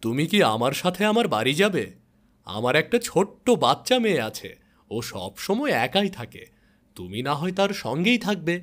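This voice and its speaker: Kindly woodcutter father for an animated fable, played by a man: fairly high, very expressive, tender. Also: normal speed. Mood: inviting. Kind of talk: animated moral story character acting.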